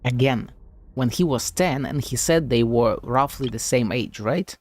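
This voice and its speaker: A man's voice: mid-range voice, a little slow, darkish tone. Sweary male game streamer thinking aloud. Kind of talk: casual live reaction commentary.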